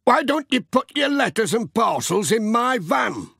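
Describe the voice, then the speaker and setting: A man's voice: extremely high pitch, very animated, a little slow, creaky, fairly bright tone, breathy edge, no echo, studio quality, voice pushed up, exaggerated voice. A man playing a hearty, helpful village shopkeeper in a children's audiobook. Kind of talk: children's audiobook character reading.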